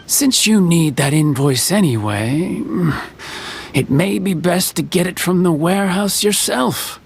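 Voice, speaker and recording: Old carpenter, gravelly voice, genial and chuckling, unhurried. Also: male voice, high-pitched, animated, slight background noise, heavily acted. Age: older. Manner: chuckling, easygoing. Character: jovial old male carpenter, folksy. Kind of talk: video game voice acting.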